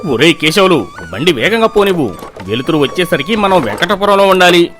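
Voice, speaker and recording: A man's voice: high-pitched, very animated, a little fast, fairly bright tone, soft background music. A man voicing an imperious, superstitious village landlord in a storybook tale, commanding and threatening. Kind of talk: animated storybook dialogue.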